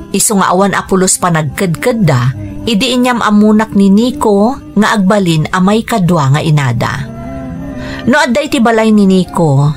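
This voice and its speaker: A woman with an Ilocano accent, acting as a patient, caring stepmother in a radio drama. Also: on the low side. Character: patient, caring young stepmother wanting the children's acceptance. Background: music.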